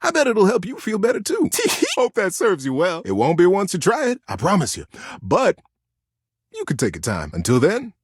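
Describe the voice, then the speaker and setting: Big-hearted teddy bear for a game, raspy n kinda high, folksy pep talk, super dry close sound. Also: male voice, huge pitch swings, no room sound, heavily acted. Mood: tender, comforting. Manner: cozy, encouraging, folksy advice-giving with drawn-out words. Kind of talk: video game character acting.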